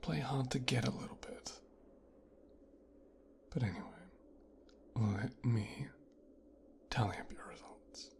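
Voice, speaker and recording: A man playing a menacing, possessive, resentful mafia boss's son in an intimate ASMR roleplay, speaking in a breathy, brooding, teasing hush. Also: mid-range voice. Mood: mocking, amused. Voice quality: breathy, whispered. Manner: slow, hushed, brooding, teasing.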